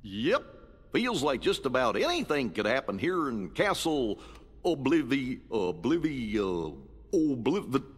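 A man voicing a goofy, kindly, slow-witted cartoon dog in a video game, bumbling and folksy, with a husky voice. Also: medium pitch, sing-song. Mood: befuddled. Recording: echoing hall reverb.

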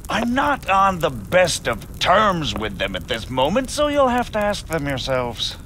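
A bright, animated medieval margrave. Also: male voice, on the high side, unhurried, a little hiss or hum, heavily acted. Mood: impassioned. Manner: formal, persuasive, oratorical. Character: shrewd, earnest medieval margrave urging lords to unite. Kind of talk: cinematic video game acting.

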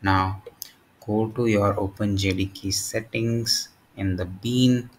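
A man narrating a step-by-step software tutorial in short, halting phrases. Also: on the low side, steady tone, slight background noise, low-quality recording. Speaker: tutorial presenter.

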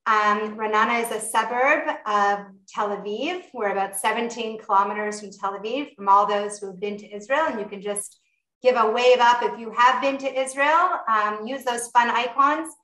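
A woman's voice: fairly high pitch, fairly even intonation, normal speed, pushed and bright. A Canadian woman chef hosting a live online cooking class, enthusiastic and welcoming, chatty with many fillers. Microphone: video call. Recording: echoey room over a video call.

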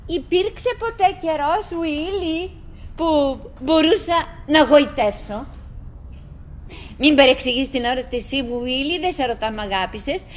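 High-voiced wistful wife played by an actress. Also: female voice, close to an everyday voice. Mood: pleading. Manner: musing, rambling, dramatic pauses, swinging from exclamation to murmur. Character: chatty, wistful middle-aged wife talking to her silent husband. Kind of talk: theatrical radio drama monologue. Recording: noisy old radio drama recording.

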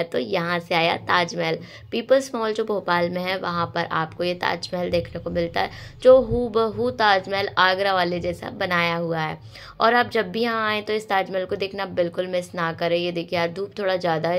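A young woman, hoarse from a cold, chatting to viewers in a casual vlog voice-over. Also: medium pitch. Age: young adult. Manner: chatty, addressing viewers directly. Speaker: vlogger and dance choreographer.

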